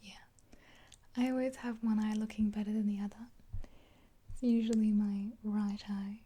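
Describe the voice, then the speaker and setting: A woman in her twenties whispering gently and unhurriedly in a casual, rambling ASMR roleplay, close to the mic. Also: medium pitch, little pitch movement, normal speed. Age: 20s. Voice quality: breathy whisper. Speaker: ASMR roleplay performer as makeup artist. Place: small indoor room, dark backdrop.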